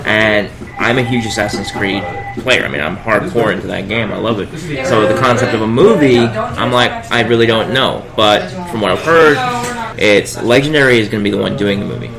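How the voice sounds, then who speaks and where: A man's voice: fairly low pitch, brisk, creaky. A man co-hosting a podcast, chatting casually like an enthusiastic gamer. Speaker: podcast co-host. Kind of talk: casual chat. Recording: crowd noise around the voice. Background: crowd chatter.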